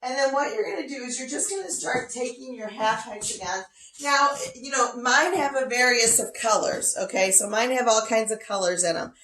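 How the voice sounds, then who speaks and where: A woman's voice: a little high, normal speed, a bit bright. Folksy quilting lady, scratchy voice with a little cough, echoey sewing room. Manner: chatty, folksy, talks to her dog between steps. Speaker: quilting teacher. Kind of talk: casual livestream quilting lesson. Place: home sewing studio.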